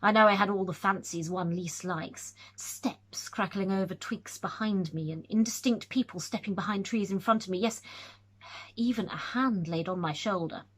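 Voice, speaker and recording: A woman's voice: mid-range voice, moderate pace, close to an everyday voice. A woman reading aloud, voicing a young male scholar who tells his tale eagerly, with nervous stumbles. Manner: lively, excited storytelling with hesitations.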